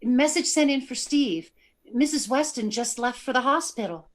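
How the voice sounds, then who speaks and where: A woman's voice: high-pitched, lively intonation, moderate pace. A woman playing a concerned, attentive control-room assistant in radio drama style.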